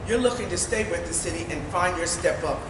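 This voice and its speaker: A woman's voice: fairly low pitch, brisk. A woman running for mayor, giving an earnest, emphatic forum speech that repeats phrases for stress. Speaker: mayoral candidate. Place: large meeting hall. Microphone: distant room mic. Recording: echoey hall from far off, noisy.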